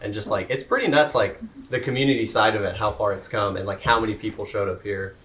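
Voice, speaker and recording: A man with a bright, forceful voice rattles along quickly in casual slang as a live podcast host. The room is echoey, and faint noise sits in the background. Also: fairly low pitch, steady tone. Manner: hyped, slangy. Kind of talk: casual chat. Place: convention hall.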